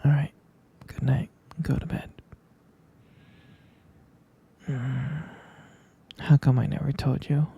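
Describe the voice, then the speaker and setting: A man playing a gentle, sleepy half-vampire boyfriend in an ASMR roleplay, murmuring soothingly and haltingly in a breathy, husky whisper. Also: mid-range voice, flat delivery, full character voice. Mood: sleepy, tender.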